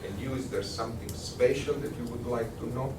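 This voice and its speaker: A man with an Italian accent giving a workshop lecture, conversational and halting, with many fillers and restarts. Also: medium pitch, fairly even intonation. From Italy. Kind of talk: workshop lecture with audience questions. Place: lecture room. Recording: echoey room, distant mic, noisy.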